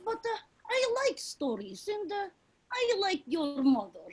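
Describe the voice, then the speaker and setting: Woman as very high-voiced, halting work partner. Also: very animated, heavily acted. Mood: halting, curious. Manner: halting, terse, clipped grammar. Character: deadpan Russian work partner of the son. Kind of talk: staged reading of a comedy play.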